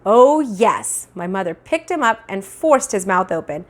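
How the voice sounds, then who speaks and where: A woman's voice: mid-range voice, moderate pace. A woman in her thirties reading a children's book aloud expressively, like a teacher with a class book. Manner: animated, acting out dialogue. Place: small indoor room at home. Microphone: clip-on lapel mic.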